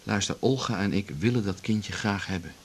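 A composed, persuasive man played by an actor in a radio play. His voice is fairly low and measured, with faint ambient noise in the background. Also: close to an everyday voice. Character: composed, persuasive man pressing a private deal. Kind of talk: radio drama acting.

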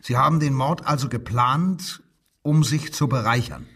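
An actor playing a stern, insistent police interrogator who puts clipped, probing questions in a German radio crime drama. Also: male voice, medium pitch, normal speed, a bit bright, slightly rough.

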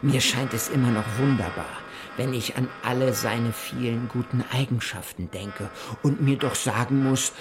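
Measured male narrator over prominent music. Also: mid-range voice. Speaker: narrator reading an explorer's journal. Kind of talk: scripted literary narration in a radio play.

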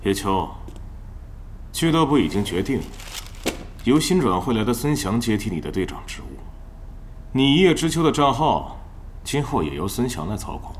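A man's voice: mid-range voice, big pitch swings, moderate pace, long pauses, slight background noise, natural voice. A man playing a stern, businesslike club manager in an audio drama, speaking in a cold, formal, official way.

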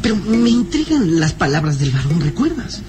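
A man's voice: sits high, very animated, normal speed, noisy background. A man playing a small, nervous, curious boy sidekick, earnest and hesitant, in an old radio serial style. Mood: uneasy.

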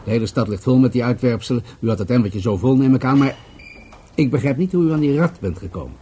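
An actor playing an unruffled police commissioner in a radio drama, dryly ironic and measured as he questions people. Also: mid-range voice, animated, warm tone, a little hiss or hum, close to an everyday voice. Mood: dryly probing.

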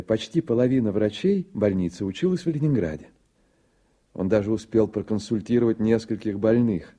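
A reflective storyteller narrating a radio play. His voice is low, very dark and mellow, with a husky grain.

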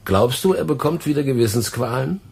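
A man's voice: mid-range voice, animated, a little fast, vocal fry, breathy edge. A man playing a casual, wry colleague in a radio studio.

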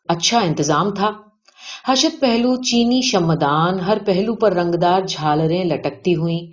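A woman reading a literary short story aloud as scripted narration. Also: fairly low pitch. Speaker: audiobook reader. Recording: dull lo-fi audio.

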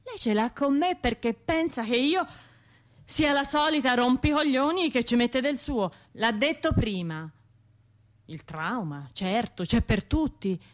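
An actress with a Tuscan accent playing a wounded, self-reproaching bombing survivor in an intimate radio drama monologue, halting and colloquial. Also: female voice, a little high, animated. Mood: defensive, troubled. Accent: Tuscan Italian. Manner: halting, colloquial, shifting between murmurs and outbursts.

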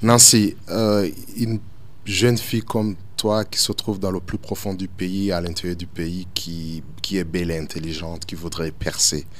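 A man asking interview questions in a deliberate, gently probing way. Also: sits low, little pitch movement, slight background noise.

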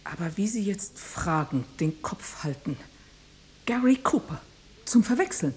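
Woman in a radio play, mellow voice, teasing, keeps pushing questions, swoops around. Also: medium pitch, animated, a little slow, slightly rough. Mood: probing, suspicious. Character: teasing, probing woman, a biologist and old flame. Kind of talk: German radio drama acting.

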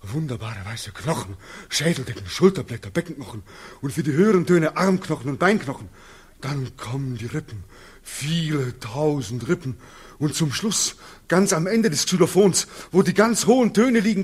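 A man's voice: fairly high pitch, expressive, normal speed. An actor playing a tormented returning soldier in a 1940s radio drama, theatrical and obsessive as he recounts a nightmare. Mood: feverish, insistent. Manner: theatrical, obsessive, swinging from hushed murmurs to frenzied cries. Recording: muffled, husky 1940s radio recording.

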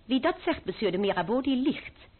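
Arch young noblewoman, high-pitched, teasing, confident, vintage radio drama, narrow muffled sound. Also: lively intonation, a little fast. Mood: teasing, defiant. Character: spirited, teasing young French noblewoman, self-assured schemer. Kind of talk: old-fashioned Dutch radio drama acting. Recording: muffled old radio play recording.

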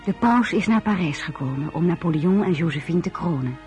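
A man's voice: fairly high pitch, lively intonation, a little fast, a little mellow, close to an everyday voice. A man announcing and narrating a radio drama in a scripted style. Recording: music behind the voice.

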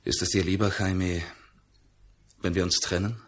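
An actor playing a companionable, mournful feather hunter in a dramatic, emotive German radio play. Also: male voice, low voice, level tone, a little slow. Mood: pleading. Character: Narciso, male jungle feather hunter, companionable, mournful, hurt.

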